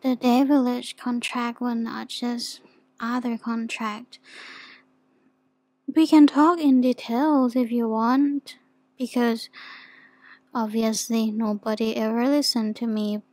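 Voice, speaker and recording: A woman playing a shy, flustered young demon in an ASMR roleplay, with a soft, sometimes whispery voice and a hesitant, stammering manner. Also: on the high side, steady tone, slow, no echo. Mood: shy, gentle.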